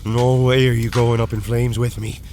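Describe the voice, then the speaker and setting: An actor playing a hot-headed, vengeful outlaw gang boss in a Western audio drama, with a gravelly Southern American accent and belligerent delivery. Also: male voice, medium pitch, little pitch movement, normal speed, darkish tone, slight background noise, close to an everyday voice. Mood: scornful, uneasy. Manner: belligerent, threatening.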